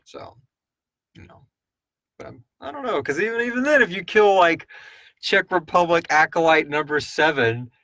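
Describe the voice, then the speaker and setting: A man's voice: a little high, animated, moderate pace, bright, forceful tone, no echo, studio quality. A man hosting a casual question-and-answer chat, rambling and thinking aloud as he speculates. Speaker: host of a live audio drama Q&A.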